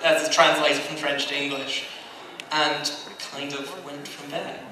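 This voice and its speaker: Higher-voiced man in a very echoey room. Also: monotone, measured pace. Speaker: anime acquisitions head, panel guest. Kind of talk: informal panel talk to an audience. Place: large convention panel room. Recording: roomy echo, distant mic, background noise.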